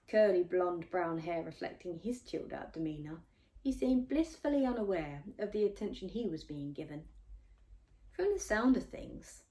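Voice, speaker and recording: A woman's voice: medium pitch, warm tone, low-quality recording. A woman narrating her own novel in the first person, reading expressively like an audiobook.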